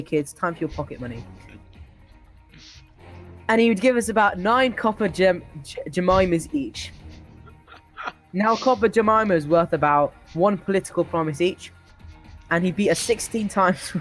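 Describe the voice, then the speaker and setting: Storyteller stumbling through a comic tall tale. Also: mid-range voice. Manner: often breaks into laughter, stumbles over words. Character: old-timer reminiscing about an absurdly harsh childhood.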